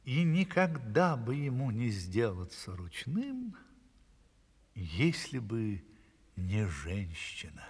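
A man telling a fairy tale to children theatrically, savouring his words with a breathy, hushed delivery. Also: lowish voice, wildly swooping pitch, takes it slowly, long reverb tail, heavily acted. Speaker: storyteller.

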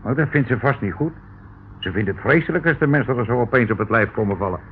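An actor playing a meek, anxious, henpecked hotel keeper in a radio play, fussy and placating. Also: male voice, mid-range voice, lively intonation, fast talker, close to an everyday voice. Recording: narrow, tinny old radio recording, faint noise.

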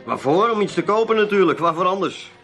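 A man's voice: sits high, animated, fast, fairly bright tone, soft background music. A man playing a chatty, evasive small-time dealer in a radio drama, with a folksy, hesitant way of talking. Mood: guarded, chatty. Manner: folksy, hesitant, tag-heavy talk. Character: chatty, evasive male dealer in odds and ends.

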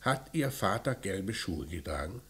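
An actor playing a dry, probing police commissioner in a German radio crime play, questioning in a terse deadpan way. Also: male voice, low voice, measured pace, full character voice. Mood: probing, restrained. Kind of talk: mid-century German radio play acting. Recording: noisy vintage radio drama recording.

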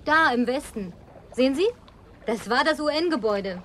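An actress playing a bossy, playful, high-spirited woman in a German radio play, with a commanding, teasing manner. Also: expressive, moderate pace, background noise. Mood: cheerful coaxing.

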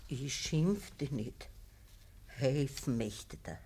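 An actress playing an anxious, pious and ailing Bavarian peasant mother in a radio play, gently pleading in a husky voice. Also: rumbling low, animated, very slow, a little hiss or hum, exaggerated voice. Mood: gentle pleading. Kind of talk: German radio drama acting.

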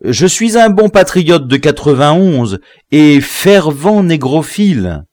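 A man's voice: a little high, lively intonation, takes it slowly, a little mellow, dry recording, clean studio sound, voice pushed up. A man voicing a servile, frightened colonial prisoner in an audiobook, stammering humbly and pleading. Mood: anxious, protesting. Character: cowardly, servile, trembling male colonial prisoner.

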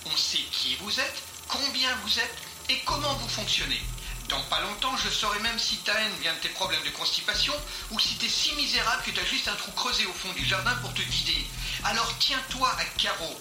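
A man's voice: high-pitched, lively intonation, moderate pace. A man playing a hard, contemptuous interrogator in an audio drama, barking menacing, insulting orders. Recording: echoey room with loud music. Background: loud music.

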